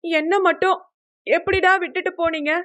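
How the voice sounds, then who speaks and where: A woman acts out a feisty, emotional college girl in an audio novel. Her voice rides high, pouting and high-strung, with dramatic delivery. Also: fairly even intonation, normal speed, sharp tone, dull audio. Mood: hurt, resolved.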